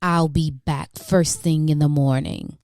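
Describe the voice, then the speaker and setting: A reader puts on an imperious storybook villainess. The woman sounds lowered in pitch, scornful and spiteful, drawing out her threats in a dry sound. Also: fairly even intonation, unhurried, darkish tone, no room sound. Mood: menacing.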